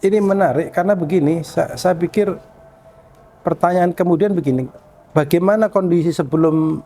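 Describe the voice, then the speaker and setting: A man's voice: high voice, moderate pace, warm tone, slight background noise. A man hosting a casual religious discussion, informally posing questions and seeking agreement. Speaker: podcast host.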